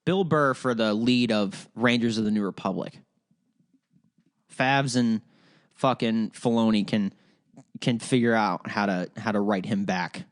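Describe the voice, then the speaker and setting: Young ohio guy hosting a podcast, kinda low voice, swears a lot, lots of ums, dry close sound. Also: measured pace, dry recording. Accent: Ohio, Midwestern. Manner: rambling, profane, full of fillers and restarts. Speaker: podcast host. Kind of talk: casual solo podcast chat.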